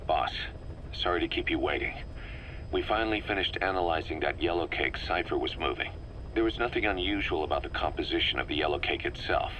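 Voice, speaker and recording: A middle-aged military intelligence officer in a video game, with a very low, forceful voice. He briefs his commander in a measured, analytical, serious way. There is faint noise on the recording. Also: low-quality recording. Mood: analytical, intrigued. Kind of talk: scripted briefing, game voice acting.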